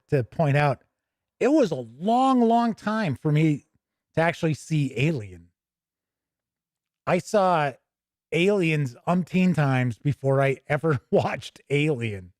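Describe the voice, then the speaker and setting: A man's voice: on the high side, very animated, takes it slowly, darkish tone, no echo, clean studio sound. A man hosting a tabletop gaming show, giving casual, chatty, rambling commentary off the cuff. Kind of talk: casual unscripted commentary while leafing through a book.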